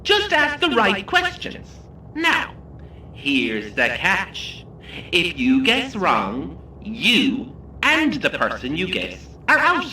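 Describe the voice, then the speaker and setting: An actor playing a sardonic, menacing coach tour guide in an audio horror comedy, taunting like a showman with dramatic pauses. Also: high voice, huge pitch swings, pushed and bright, roomy sound, recorded from a distance, a little hiss or hum, full character voice. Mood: ominous relish.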